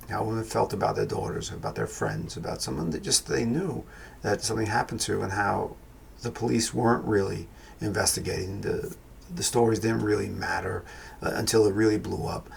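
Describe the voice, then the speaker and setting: A man with a New York accent talks through a film review in an unhurried, rambling way, often circling back and restarting his thoughts. His voice sits low and carries a heavy gravelly fry. A faint hiss of noise runs beneath it. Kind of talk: casual spoken film review.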